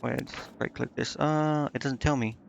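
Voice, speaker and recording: Gravelly-voiced man thinking aloud while gaming. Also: medium pitch, fairly even intonation, normal speed, dull audio, slight background noise. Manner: indecisive muttering, talking to himself. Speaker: game streamer. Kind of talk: casual solo gameplay commentary, thinking aloud. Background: game music.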